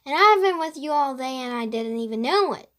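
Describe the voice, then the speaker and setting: An eager young boy from a children's mystery, voiced by a woman reader. He speaks in a raised, high voice, earnest and curious. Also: animated, brisk, fairly bright tone. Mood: disappointed.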